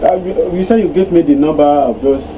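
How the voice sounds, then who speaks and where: A man's voice: a little high, fairly quick, dull audio, background noise. A man from Nigeria who is being evicted, speaking hesitantly and pleading, recorded outdoors. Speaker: evicted tenant.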